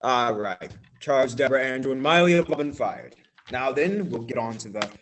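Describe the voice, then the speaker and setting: A man's voice: medium pitch, animated, normal speed, fairly bright tone. A young man in a school stage play, playing a bossy, grandiose radio play writer who declaims his lines. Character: pompous scheming male radio play writer-director.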